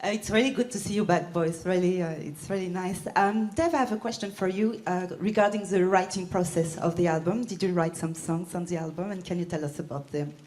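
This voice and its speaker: A woman's voice: lowish voice, a little fast, hardly any pauses, darkish tone. A woman TV journalist asking a question at a press conference.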